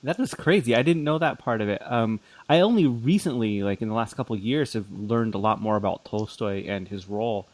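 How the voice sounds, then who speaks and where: Low-voiced man hosting, dry sound. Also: brisk, hardly any pauses, a little mellow, no room sound. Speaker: podcast host, interviewer. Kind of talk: casual conversational interviewing.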